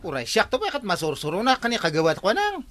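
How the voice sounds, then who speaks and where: A man's voice: sits high, wildly swooping pitch, fast talker, a bit bright, dry recording, faint music behind, full character voice. A man with an Ilocano accent playing a deferential yet complaining village laborer in a radio comedy drama, given to fawning exclamations.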